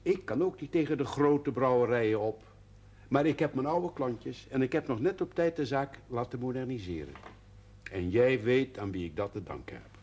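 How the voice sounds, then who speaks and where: A man's voice: medium pitch, animated, moderate pace, a little mellow, slightly rough. An actor playing an amiable, persistent businessman in a conversational, probing way in a television drama. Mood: persuasive. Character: amiable, persistent businessman, expectant father, old rival. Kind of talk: naturalistic television drama acting. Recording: faint noise, 1970s television soundtrack.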